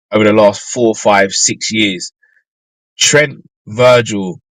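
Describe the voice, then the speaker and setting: A man hosting a live fan show from inside his car, his voice still a little croaky from a flu, chatting loosely and thinking aloud with ums and restarts. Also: lowish voice, fairly bright tone, no room sound, poor audio. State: slightly croaky after flu. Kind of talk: casual live-stream chat.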